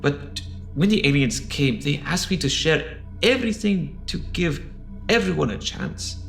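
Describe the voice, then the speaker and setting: A man's voice: on the high side, expressive, a little slow, gravelly, faint music behind. A man playing an anxious, defensive scientist in an audio drama, hesitant and stammering as he pleads. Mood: pleading, defensive. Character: anxious, defensive male scientist pleading his good intentions.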